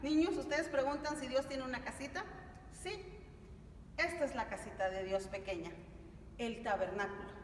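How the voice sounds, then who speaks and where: High-voiced woman in an echoey church. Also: moderate pace, pauses often. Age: middle-aged. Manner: didactic, asks check-in questions. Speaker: catechism teacher. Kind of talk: explanatory religious lesson for families. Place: large stone-walled church, indoors. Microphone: camera a few steps away. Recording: echoey church hall, distant mic, noisy.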